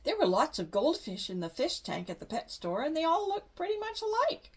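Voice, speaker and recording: Older woman storyteller, high voice, gentle read-aloud into a webcam. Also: huge pitch swings, fairly quick. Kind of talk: reading a picture book aloud.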